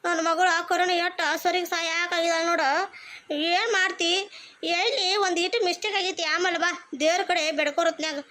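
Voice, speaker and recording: Cartoon village mother, played by a woman: very high voice, North Karnataka Kannada accent, nagging, doting, chatty. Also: fairly even intonation, brisk, bright, forceful tone. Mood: sympathetic. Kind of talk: rustic comedy cartoon dialogue.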